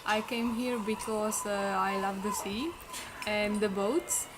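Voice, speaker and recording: Czech woman intro, slow and flat, a bit far off with noise. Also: medium pitch. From Czech Republic. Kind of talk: casual self-introduction.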